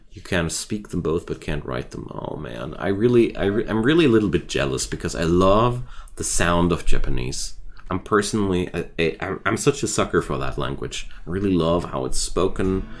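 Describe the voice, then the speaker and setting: Low-voiced man chatting on a game stream. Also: expressive, normal speed. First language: not English. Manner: rambling and chatty, restarts thoughts. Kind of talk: casual chat with viewers while gaming.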